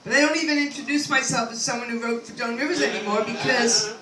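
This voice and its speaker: High, bright older woman doing stand-up. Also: fairly even intonation, fast talker. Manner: rambling, self-deprecating, with chatty asides to the crowd. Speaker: stand-up comedian. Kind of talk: stand-up comedy set. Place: comedy club stage. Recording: echoey room, mic at a distance, faint ambience.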